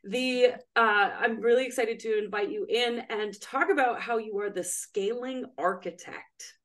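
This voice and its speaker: A woman's voice: fairly high pitch, unhurried, fairly bright tone. A woman hosting an interview podcast, introducing her guest and asking questions in an enthusiastic, affirming way. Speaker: podcast host.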